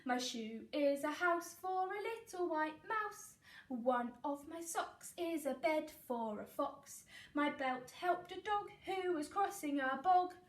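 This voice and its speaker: A woman's voice: high voice, lively intonation, slow pace. A woman voicing a kind, cheerful male giant in a storybook, sing-song in his rhymes. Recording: echoey room, far from mic.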